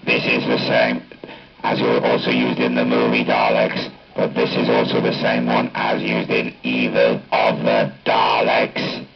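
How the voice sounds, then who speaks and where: A man speaking through a Dalek-style ring-modulator robot effect, announcing test settings in a clipped, deliberate way. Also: extremely low pitch, completely flat delivery, vocal fry, faint music behind. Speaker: hobbyist demonstrating a voice changer. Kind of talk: product test demonstration. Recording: dull lo-fi capture from amplifier speaker.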